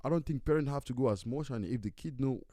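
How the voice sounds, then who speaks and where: A man's voice: medium pitch, fast talker, warm tone, no echo. A man in his twenties co-hosting a casual podcast chat, emphatic and repetitive, speaking into a studio mic. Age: 20s. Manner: emphatic, repeats "you see what I'm saying". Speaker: podcast co-host. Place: small indoor studio set with couch. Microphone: studio mic on stand, headphones.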